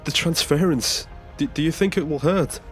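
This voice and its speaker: A man's voice: on the high side, moderate pace, soft background music, lightly acted. A man playing a nervous, earnest passenger in an audio drama, speaking hesitantly with small stammers. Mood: anxious. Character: nervous ageing male passenger seeking a new body. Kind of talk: naturalistic audio drama acting.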